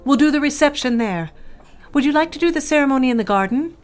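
A woman's voice: sits high, expressive, quick pace, soft background music. An actress playing a genteel, controlling middle-aged society mother in an audio drama, fussy and pointed, breezily dismissive. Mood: brisk planning. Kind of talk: scripted audio drama acting.

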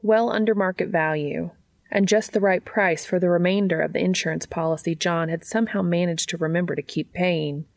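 A woman's voice: on the low side, steady tone, a little fast, creaky, no room sound, clean studio sound. A woman reading scripted story narration as a podcast host. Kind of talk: scripted narration of fan fiction.

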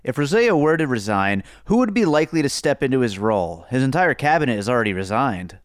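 Male co-host, animated pitch, easygoing and amused. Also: fast, no room sound, clean studio sound. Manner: amused, laughs easily. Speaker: podcast co-host. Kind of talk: mock news interview and casual chat.

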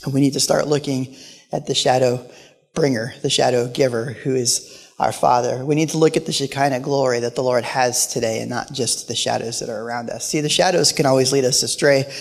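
Man preaching with a quiet, gravelly voice. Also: mid-range voice, steady tone, brisk. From Portland, Oregon. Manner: storytelling, humorous asides. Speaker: guest pastor. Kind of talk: sermon. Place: church sanctuary. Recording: live church hall with long reverb.

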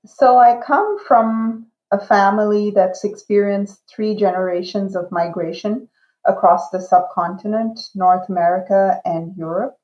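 A woman in her fifties from Iran, whose first language is Urdu, giving a measured and reflective interview answer as a guest professor. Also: medium pitch, echoey room, lo-fi sound. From grew up in Iran. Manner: slow, measured and reflective, with fillers.

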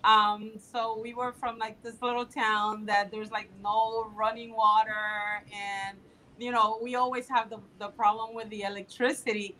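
A woman's voice: a little high, level tone, measured pace, bright, forceful tone, slight background noise, rough recording. A woman in her fifties from the Dominican Republic, a political candidate answering interview questions in an eager way with frequent fillers. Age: 50s.

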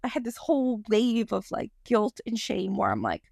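Croaky, gravelly woman podcast host. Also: medium pitch, big pitch swings, moderate pace, a little mellow, no echo, studio quality. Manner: rambling and self-deprecating, apologizes for digressions. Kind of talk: casual solo podcast monologue.

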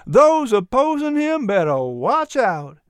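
A narrator voicing an excitable female animal who speaks emphatically. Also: mid-range voice, slow pace, clean tone, no echo, pitched above the performer's own voice. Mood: emphatic. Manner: emphatic, exclaiming.

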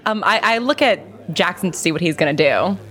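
A woman's voice: on the low side, expressive, a little fast. A woman improvising as a player in a tabletop game, somewhat hesitantly. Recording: loud music behind.

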